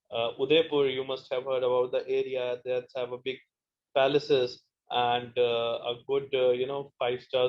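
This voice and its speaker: A man from India, an event planner talking haltingly with many fillers on an online panel. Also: medium pitch, lo-fi sound.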